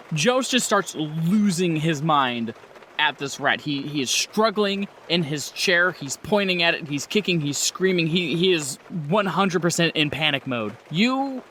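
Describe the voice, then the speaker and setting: Male game master, higher-pitched and animated, conversationally explaining rules and scenes. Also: a little hiss or hum. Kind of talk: running a horror tabletop roleplaying game.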